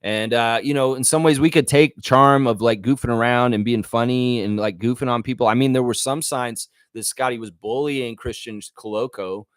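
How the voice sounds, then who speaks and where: A man's voice: medium pitch, fairly even intonation, normal speed, few pauses, no room sound. A man hosting a live sports fan chat, talking informally and conversationally as he thinks aloud to his viewers.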